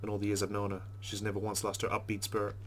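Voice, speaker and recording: A man's voice: fairly low pitch, monotone, very fast, a little hiss or hum. A man who hosts a let's play, reading story narration aloud in a wry, conversational way with casual asides.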